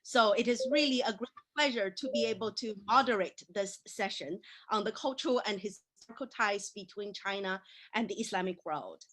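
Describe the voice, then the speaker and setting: A woman's voice: medium pitch, moderate pace, a bit bright, poor audio. A woman whose first language is Mandarin, moderating an academic panel with conversational, gracious remarks and frequent hesitations. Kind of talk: unscripted opening remarks.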